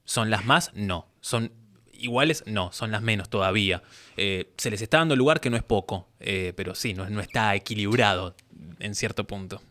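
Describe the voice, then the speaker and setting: Man, Argentine accent, lowish pitch, interview host, casual conversation, halting and digressive. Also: sharp tone. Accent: Argentine Spanish.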